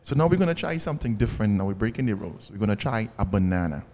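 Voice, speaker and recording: A man's voice: fairly low pitch, big pitch swings, rapid-fire, mellow, slight background noise. Easygoing Trinidadian man. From Trinidad and Tobago. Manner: easygoing, laid-back storytelling. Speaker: guest, hot sauce maker. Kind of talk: casual chat.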